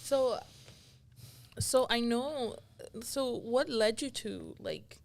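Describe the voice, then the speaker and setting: A woman's voice: fairly high pitch, drawn-out words, gravelly, a little mellow. A woman hosting a podcast, interviewing a guest in a casual, conversational way. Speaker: podcast host.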